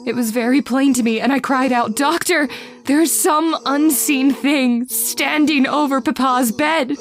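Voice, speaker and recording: A woman's voice: high-pitched, measured pace. A woman playing an anxious, frightened daughter in an old-time radio horror drama, with dramatic, earnest delivery. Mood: frightened, pleading. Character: anxious, frightened daughter recounting her father's haunting.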